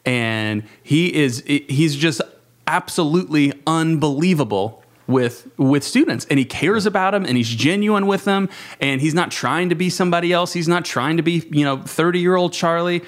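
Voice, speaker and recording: A man in his thirties talking reflectively in a panel discussion, with halting phrasing and self-corrections. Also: fairly high pitch. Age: 30s. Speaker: youth ministry worker.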